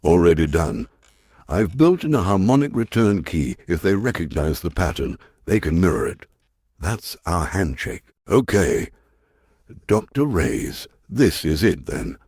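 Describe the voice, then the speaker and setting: A man playing an earnest, resolute science professor in a sci-fi audio drama, with a husky voice and deliberate delivery. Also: low-pitched, big pitch swings, a little mellow, no echo, clean studio sound, full character voice. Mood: intent, determined.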